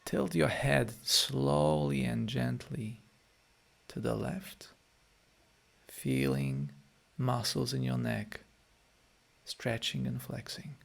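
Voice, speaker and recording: A man with a Polish accent and a heavily gravelly, creaky voice, a meditation teacher talking straight to his listeners. He speaks in short phrases at a middle pitch, Polish being his first language. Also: expressive, slow pace, long pauses. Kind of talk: guided meditation and live-stream chat.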